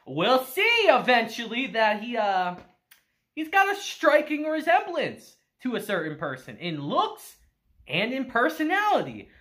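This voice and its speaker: A man in his thirties reviewing anime on camera in a small room, animated and gushing, laughing often. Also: sits high. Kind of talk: excited casual review.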